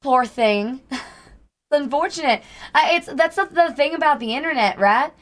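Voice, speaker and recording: Hoarse woman in a put-on Southern accent. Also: high voice, lively intonation, moderate pace. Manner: chatty, greets and thanks chat by name. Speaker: livestreamer. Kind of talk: casual livestream chat with viewers.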